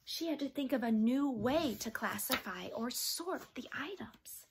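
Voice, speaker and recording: A woman's voice: sits high, measured pace, background noise. A woman in her thirties reading expressively to young children, with chatty, questioning asides in a sing-song manner. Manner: animated, sing-song, asking the listeners questions. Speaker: children's read-along teacher. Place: small child's bedroom, indoors.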